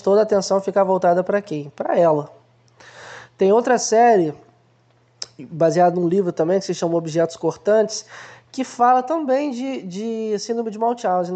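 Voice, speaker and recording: A man hosts an unhurried film analysis, speaking in a warm, mellow voice. He explains ideas conversationally and often acts out small everyday examples to make his point. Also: sits high. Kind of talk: casual explanatory film commentary.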